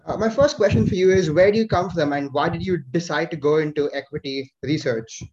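A man's voice: a little high, fairly quick, a little mellow. A man hosting a podcast who introduces his guest and asks questions, stumbling a little over his words. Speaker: podcast host. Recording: dull lo-fi audio.

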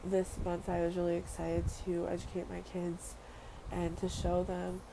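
Young woman from Samoa, earnest vlogger, low and flat delivery. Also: unhurried, creaky. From Western Samoa. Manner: earnest, repeats phrases, casual fillers. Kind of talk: heartfelt personal monologue. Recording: noisy background ambience.

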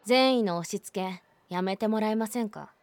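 A woman's voice: mid-range voice, moderate pace, dry recording, lightly acted. A woman playing a blunt, prickly teenage schoolgirl in a voice drama, who speaks curtly and sarcastically. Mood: cold. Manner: curt, sarcastic, cold. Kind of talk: voice drama acting.

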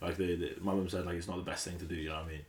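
Quick, low-voiced jokey man. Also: little pitch movement. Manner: jokey, teasing, asks questions. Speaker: podcast host, medical student. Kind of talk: casual chat.

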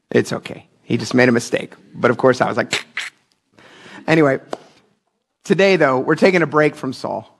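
A man's voice: medium pitch, animated, a little slow, gravelly, pauses often. Chatty male pastor preaching casually. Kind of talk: sermon.

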